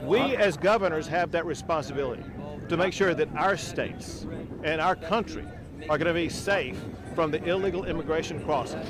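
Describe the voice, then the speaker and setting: A man speaking as a governor at a press conference, emphatic and forceful, outdoors by a river. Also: a little high, normal speed, crowd noise. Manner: forceful, emphatic political speech. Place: outdoors by a river border crossing.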